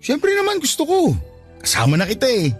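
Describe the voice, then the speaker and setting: A man's voice: very high-pitched, wildly swooping pitch, fairly quick, faint music behind, heavily acted. An actor playing a devoted young man in a Tagalog radio drama, who earnestly sweet-talks his girlfriend.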